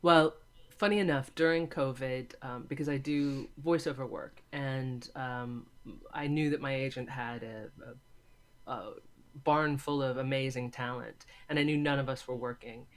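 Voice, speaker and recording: A woman's voice: a bass voice, fairly even intonation, normal speed. A woman from California with an American accent, answering conversationally as an interview guest. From California, USA. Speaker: interview guest, film lecturer and voice actor.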